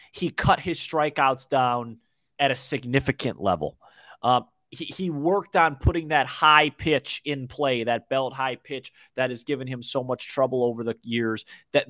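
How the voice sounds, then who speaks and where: A man in his twenties co-hosting a casual sports podcast, talking baseball in a halting way with repeated words. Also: mid-range voice, fairly bright tone, dry recording. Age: 20s. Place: small indoor room, video call. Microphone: desk microphone, close.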